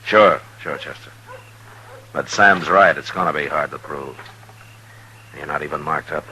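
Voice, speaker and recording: Frontier marshal, American, low-pitched, forceful, terse and loyal; vintage radio western with faint hum. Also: male voice, heavily acted, rough recording. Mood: steady, grave. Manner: terse, measured, firm. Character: steady, authoritative frontier marshal, stern but loyal. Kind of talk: 1950s radio western acting. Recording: old radio recording, faint hum and hiss.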